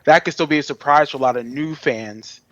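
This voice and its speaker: A man's voice: fairly high pitch, brisk, fairly bright tone, dry recording, highs cut off. Slangy, enthusiastic man on a podcast. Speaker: podcast co-host. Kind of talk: casual fan podcast chat.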